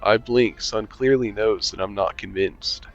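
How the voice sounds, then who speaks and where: A man's voice: on the low side, unhurried, gravelly, dull audio, faint music behind. A man reading a visual novel aloud with commentary, making dry, sarcastic asides and swearing casually. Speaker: game streamer.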